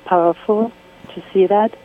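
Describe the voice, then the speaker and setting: Soft-spoken woman over a tinny phone line. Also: medium pitch, fairly even intonation, a little hiss or hum. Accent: French. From Congo. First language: Swahili, Lingala and French. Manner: soft-spoken, laughs often, halting English. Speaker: guest artist. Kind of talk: phone interview.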